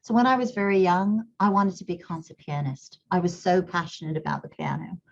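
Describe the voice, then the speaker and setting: A woman's voice: lowish voice, fairly quick, gravelly, highs cut off. A middle-aged woman who grew up in Kenya, with a slight English accent, talks earnestly as an interview guest and repeats words while she thinks. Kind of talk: reflective interview talk.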